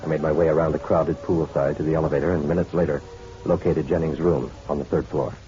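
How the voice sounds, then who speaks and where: Deep-voiced wry private eye. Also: male voice, level tone, quick pace, mellow, soft background music. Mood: brooding narration. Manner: wry, flirtatious, colloquial. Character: wry hard-boiled male private detective. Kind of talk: old-time radio detective drama, first-person narration and dialogue. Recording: dull muffled radio drama recording.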